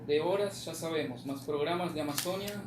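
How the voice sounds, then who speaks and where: Distant, echoey male interviewer. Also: fairly high pitch. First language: Spanish. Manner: halting, mixes Spanish with Portuguese. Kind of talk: casual interview questions. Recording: echoey, noisy room, distant from mic.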